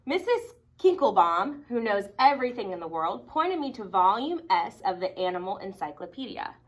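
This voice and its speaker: A woman reading a children's picture book aloud expressively, with dramatic emphasis and deliberate pauses. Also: medium pitch, big pitch swings. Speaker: storybook reader.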